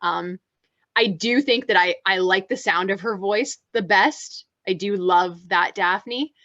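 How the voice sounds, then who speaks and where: Chatty woman with a low voice. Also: slow, fairly bright tone, no room sound, lo-fi sound. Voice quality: low voice she calls masculine. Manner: chatty, many fillers and restarts. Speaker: podcast co-host. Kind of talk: casual panel discussion.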